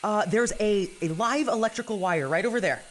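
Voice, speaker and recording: A man's voice: high voice, brisk, fairly bright tone. An actor playing a flustered, anxious young male theater technician, stammering and hesitant, in an audio drama. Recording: faint background noise.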